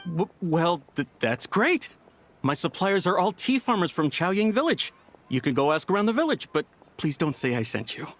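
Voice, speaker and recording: High-voiced, flustered shop vendor. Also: male voice, sing-song, moderate pace. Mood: nervous, evasive. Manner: stammering, nervous, polite. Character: flustered, ingratiating male tea shop vendor. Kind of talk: video game voice acting.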